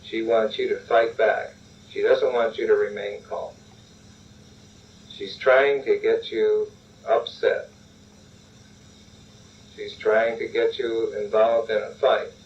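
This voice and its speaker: A man with a lowish voice leads a guided relaxation exercise, calm and instructive. He keeps returning to telling the listener to relax. The recording is lo-fi and dull, and he sounds a little distant from the mic. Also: slow, long pauses, a little hiss or hum.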